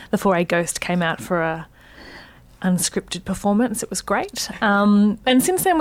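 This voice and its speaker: Lively woman, dry studio sound. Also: mid-range voice, no echo. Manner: animated, enthusiastic, many fillers. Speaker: arts centre director, guest. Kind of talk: radio interview.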